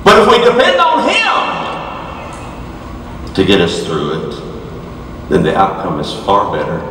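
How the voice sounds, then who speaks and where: An older man preaching a sermon, dropping to hushed low lines and rising to shouted high peaks as he moves around a large stage. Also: wildly swooping pitch, unhurried, slight background noise. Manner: moves about the stage gesturing while preaching. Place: large dark auditorium stage. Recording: echoey hall from far off.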